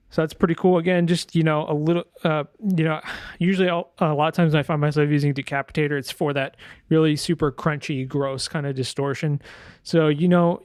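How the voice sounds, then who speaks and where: A man presenting a sound design software tutorial in a casual, informal way, thinking aloud as he demonstrates. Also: on the high side, flat delivery, fairly quick, clean tone, dry recording.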